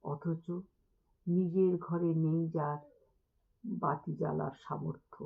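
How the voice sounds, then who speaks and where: A woman gives a dramatic poetry recitation through thin, phone-like audio. Her delivery is very slow and deliberate, with expressive pauses between phrases. A gravelly creak runs through her voice. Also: sits low. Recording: thin, band-limited phone-like sound.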